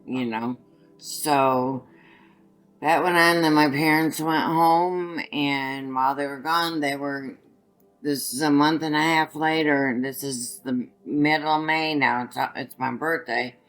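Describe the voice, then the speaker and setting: An older woman with a raspy voice, telling her own story as an interview guest, halting and restarting as she goes. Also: low voice, fairly even intonation, a bit bright, faint music behind, rough recording. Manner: halting, restarts often while recounting.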